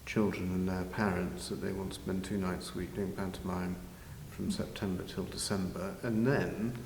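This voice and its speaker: Interviewee: older man, very low voice, halting and measured, recorded in a reverberant hall. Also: level tone, vocal fry. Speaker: interviewee, village pantomime organiser. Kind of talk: informal interview answers. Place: large village hall, indoors. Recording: very echoey hall, faint noise.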